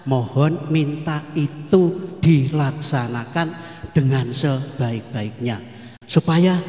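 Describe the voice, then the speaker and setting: A man giving a formal ceremonial speech over a PA microphone, repeating phrases and slowing down for emphasis. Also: mid-range voice, darkish tone. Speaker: village head giving a speech. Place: large echoey hall. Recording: echoey hall with long reverb.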